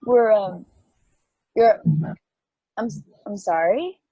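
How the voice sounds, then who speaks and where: Woman reading a husky, bewildered machine mind. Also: mid-range voice, dark tone, no echo, lo-fi sound. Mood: bewildered, anxious. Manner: halting, stammering, apologetic, self-doubting. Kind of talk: amateur table read of a stage play.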